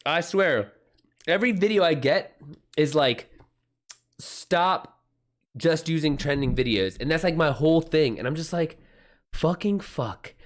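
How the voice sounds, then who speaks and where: A man's voice: on the high side, animated, measured pace, pauses often. A man chatting informally as an author on a livestream, rambling in loose, slangy, sweary talk. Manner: rambling, retells exchanges in quoted back-and-forth. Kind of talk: casual livestream chat with anecdotes.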